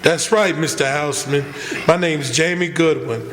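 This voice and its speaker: Echoey stage radio play: young backwoods convict male actor, slightly high voice, bitter, defiant, without hope. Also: moderate pace, loud music behind the voice, close to an everyday voice. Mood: bitter, resigned. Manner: defiant, resigned, folksy rural grammar. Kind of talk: radio drama acting. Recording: echoey hall stage recording.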